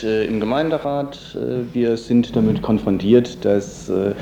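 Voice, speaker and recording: A man's voice: on the low side, gravelly, mellow. A man giving spontaneous, hesitant interview answers as an activist, often searching for words. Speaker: interviewed congress organiser and local political activist. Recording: roomy echo with audible background noise.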